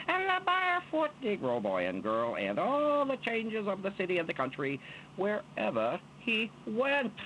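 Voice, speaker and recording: A man giving an expressive, dramatic poetry recitation in a theatrical manner, drawing out his words. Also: on the high side, slight background noise, rough recording. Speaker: reader of poetry.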